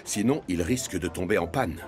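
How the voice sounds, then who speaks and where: A man narrating a children's cartoon from a script, as an expressive storyteller. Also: sits low, normal speed, a crowd in the background. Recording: music behind the voice.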